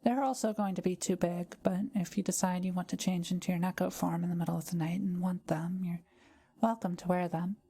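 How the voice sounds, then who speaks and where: A woman playing a kind, soft-spoken forest witch in an intimate audio roleplay, talking to the listener in gentle, formal, old-fashioned wording. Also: on the low side, fairly even intonation, fairly quick, slightly rough. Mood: warm, hospitable.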